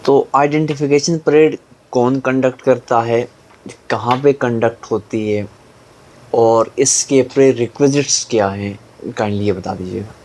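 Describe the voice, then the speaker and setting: A man's voice: medium pitch, steady tone, measured pace, a little mellow, poor audio. A man politely asking interview questions, mixing English terms into his Urdu.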